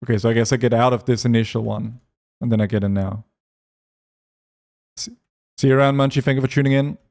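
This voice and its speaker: A man from Europe streaming a game, reading chat aloud and answering it between quick asides about his play. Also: medium pitch, steady tone, creaky, a little mellow. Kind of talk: casual gaming stream chat.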